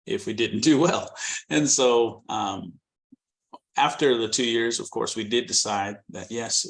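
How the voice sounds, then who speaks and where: Middle-aged man, Californian American accent, lowish voice, reflective and halting, missionary interview guest. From born in Guatemala, raised in California. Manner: reflective, hesitant, with many ums and restarts. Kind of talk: interview storytelling.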